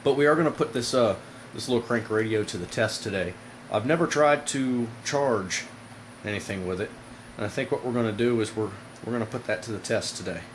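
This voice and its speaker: A man with a slightly low voice talks viewers through a product demonstration in a casual, informal way. His delivery halts and pauses as he goes. It sounds like an amateur home camera recording with light background noise. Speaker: product reviewer, video host. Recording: noisy amateur camera audio.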